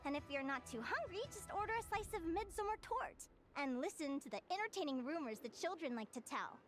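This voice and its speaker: A woman's voice: sits high, lively intonation, fairly quick, soft background music. A woman voicing an eager, bubbly young guild advisor who lectures with gushing enthusiasm, in a video game. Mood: chatty. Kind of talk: game voice acting. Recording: game soundtrack behind.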